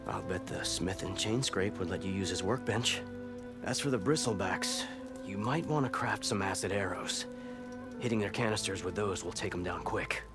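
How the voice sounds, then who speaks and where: An actor playing an obliging, practical guard in a video game, speaking plainly and casually. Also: male voice, low voice, normal speed, loud music behind the voice, close to an everyday voice. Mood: helpful. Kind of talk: video game cutscene acting.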